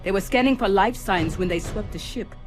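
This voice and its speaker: Over prominent music, an actress plays a female starship AI. She is coolly precise and dryly witty, delivering technical detail in a measured, clinical manner at a middling pitch, in a sci-fi drama style. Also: lightly acted. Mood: resolute. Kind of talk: scripted sci-fi audio drama acting. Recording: music under the voice. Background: background music.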